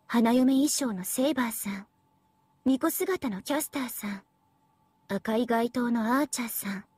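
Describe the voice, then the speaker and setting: High-pitched smug anime AI devil girl. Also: a little slow, a little mellow, husky. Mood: coy. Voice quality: sweet, girlish. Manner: playful, mock-polite, condescending. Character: smug, teasing young female AI devil girl. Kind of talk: Japanese anime game voice acting.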